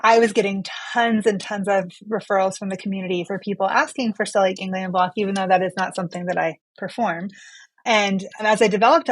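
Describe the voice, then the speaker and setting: A woman's voice: mid-range voice, no echo, rough recording. A woman physician being interviewed as a study author, explaining research in a conversational way and posing questions she then answers.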